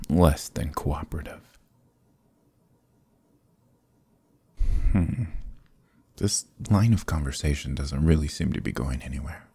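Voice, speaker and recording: A man playing a sly, charming adventurer thief in a fantasy ASMR roleplay, smooth-talking, courtly and evasive, with theatrical hesitations. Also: extremely low pitch, dark tone, no echo, full character voice. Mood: charming, defensive. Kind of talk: fantasy ASMR roleplay acting, close and soft.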